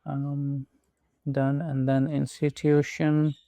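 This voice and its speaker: A man from Pakistan, not a native English speaker, narrating a screen walkthrough with slow, methodical step-by-step instructions. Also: on the high side, completely flat delivery, mellow, no room sound. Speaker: tutorial presenter.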